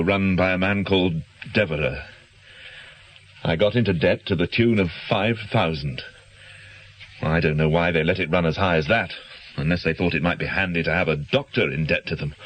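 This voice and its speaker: An actor playing an evasive, anxious doctor with a British accent in a radio drama, speaking formally and hesitantly. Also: male voice, low-pitched. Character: evasive, anxious male doctor with a gambling past.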